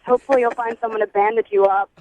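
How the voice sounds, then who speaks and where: A woman's voice: fairly high pitch, fairly even intonation, racing, a crowd in the background. A woman talking casually on a phone line as a radio guest, blunt and slangy. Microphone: telephone. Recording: thin, tinny phone line.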